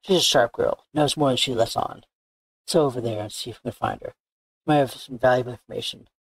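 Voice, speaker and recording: A man's voice: mid-range voice, fairly quick, husky, low-quality recording. A man voicing a cocky, bossy young woman tracker, boastful and blunt, reading visual novel game dialogue. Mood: businesslike. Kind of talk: character read-aloud of game dialogue. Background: background music.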